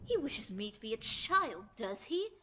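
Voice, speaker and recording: A woman's voice: on the high side, big pitch swings, moderate pace, background noise. A woman playing a boastful, precocious rich young boy adventurer in a theatrical audio drama, with a pompous, grandiloquent manner. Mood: defiant, scheming.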